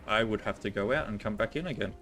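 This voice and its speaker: Low-voiced man playing a game. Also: fast talker, a bit bright, faint music behind. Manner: musing aloud to himself. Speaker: game streamer. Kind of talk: casual gameplay commentary.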